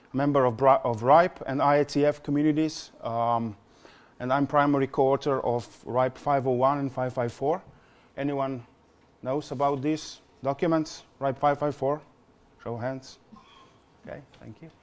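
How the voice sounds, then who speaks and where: A man in his forties from Slovenia, speaking English with a Slovenian accent as a non-native speaker, giving a conference presentation with many fillers and repeated words. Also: mid-range voice.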